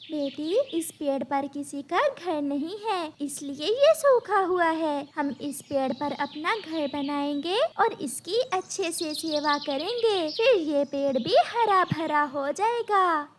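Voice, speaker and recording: A gentle mother sparrow, voiced by a woman. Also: high voice, lively intonation, slow, hardly any pauses, slight background noise. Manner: soft, reassuring.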